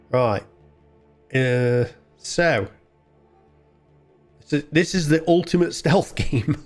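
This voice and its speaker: Chatty man commentating over game music. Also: mid-range voice. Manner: chatty, thinking aloud. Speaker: gaming video host. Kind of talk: casual game play commentary.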